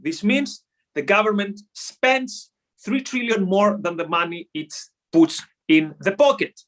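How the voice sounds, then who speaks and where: A man with a Greek accent, originally from Greece, hosting a podcast and explaining an economics topic in an animated way, often pausing on fillers and restarting his sentences. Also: high-pitched. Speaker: podcast host. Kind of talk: solo explainer talk.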